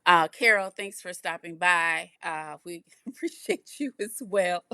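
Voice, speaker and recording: A woman's voice: lowish voice, lively intonation, a little slow, bright, forceful tone, clean tone, no echo, clean studio sound. A woman co-hosting a podcast, talking in a reflective, casual way and often repeating words as she thinks. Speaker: podcast co-host. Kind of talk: casual chat.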